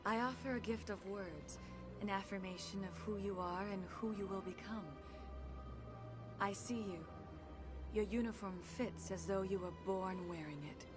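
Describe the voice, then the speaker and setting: A woman voices a gracious alien consort from a video game, wise and serene. The voice sits at a middle pitch and speaks with formal, measured solemnity over clearly heard music. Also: close to an everyday voice. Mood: solemn, prophetic.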